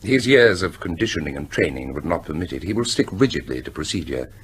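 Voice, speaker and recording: Cold British weapons chief, swooping intonation. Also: male voice, very deep voice, big pitch swings, fast, a little hiss or hum. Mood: cool, certain. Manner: precise, detached, self-assured. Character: cold, unrepentant male British weapons scientist. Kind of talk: radio drama acting.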